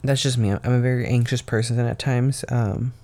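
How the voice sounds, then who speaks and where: A young man talking through his own podcast in a loose, rambling way, his voice gravelly and a little raspy. He sounds tired, as if he got up before dawn. His pitch sits in an ordinary middle range for a man. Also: fairly even intonation, moderate pace, warm tone. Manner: rambling, meandering talk full of "um" and "like". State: tired from waking very early. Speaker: podcast host. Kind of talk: casual solo podcast monologue.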